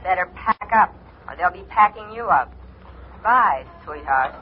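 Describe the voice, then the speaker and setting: Taunting villainous caller, high voice, sarcastic endearments, muffled old radio drama. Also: male voice, animated, measured pace, slightly rough, full character voice. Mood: mocking menace. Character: mocking, menacing male caller toying with his victim. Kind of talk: old-time radio thriller acting.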